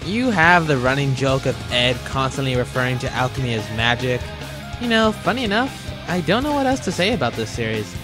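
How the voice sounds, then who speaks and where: A man's voice: mid-range voice, very animated, fairly quick, music in the background. A man hosting a countdown review video in a casual, scripted narration with a wry, jokey manner. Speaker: host of a top-list video.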